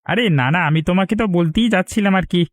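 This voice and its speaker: A greedy, sly cartoon villager, voiced by a man, with a high voice and quick chatter in a dry recording. The bumbling husband wheedles, repeats himself and gets flustered easily. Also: no echo, lightly acted. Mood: placating, confused. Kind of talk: Bengali cartoon voice acting.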